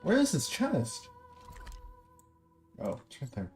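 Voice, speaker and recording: A streamer talks casually through a game, informal and reactive, half to themselves. The voice is dark and mellow and unhurried, with faint music in the background. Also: mid-range voice, huge pitch swings. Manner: informal, reactive, muttering to self. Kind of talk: casual chat while live streaming a game.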